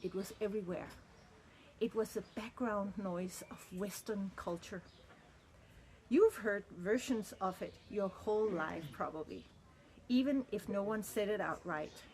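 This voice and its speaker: A woman's voice: mid-range voice, measured pace, darkish tone. A middle-aged woman from Denmark, speaking in a candid, earnest and reflective personal monologue. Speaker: vlogger.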